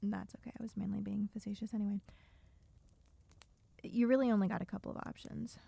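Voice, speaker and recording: A woman's voice: mid-range voice, little pitch movement, brisk, gravelly, warm tone, breathy edge. A woman playing a wry, bossy, guarded survivor in a dystopian audio roleplay, speaking to a silent listener in a dry, chiding, sardonic way. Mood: dry, resigned.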